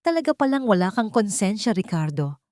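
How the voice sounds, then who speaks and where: A woman's voice: mid-range voice, fairly quick, no echo, clean studio sound, natural voice. A woman voicing a cold, controlled female CEO and betrayed wife in a story. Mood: bitter. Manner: calm, authoritative, controlled.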